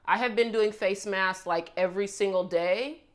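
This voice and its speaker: A woman's voice: medium pitch, steady tone, normal speed. A young woman with a congested voice from a cold, chatting casually through her hair-care routine at home. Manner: chatty, upbeat, walks through each step. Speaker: beauty vlogger.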